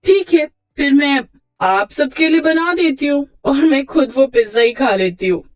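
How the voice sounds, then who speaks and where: A woman's voice: high voice, animated, unhurried. A woman voicing a cheerful, stubborn young daughter-in-law, sweet but insistent, in a moral story. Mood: obliging. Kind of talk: acted moral story for children.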